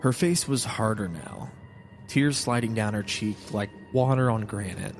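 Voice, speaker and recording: A man's voice: fairly low pitch, measured pace, a little hiss or hum. A man reading a scripted first-person horror story as a solemn, literary narrator. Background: music.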